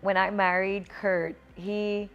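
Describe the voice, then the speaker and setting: A woman in her forties, a pastor, telling a personal story in a sermon and building up to lively exhortation. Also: mid-range voice, slow pace, highs cut off. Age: 40s. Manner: storytelling, rising to exuberant exhortation.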